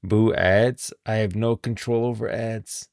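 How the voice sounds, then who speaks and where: A male livestream host with a deep voice in a dry, close sound. He comments in an informal, chatty way and often thinks out loud as he talks to his viewers. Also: steady tone, unhurried, no room sound. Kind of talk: casual livestream commentary and chat.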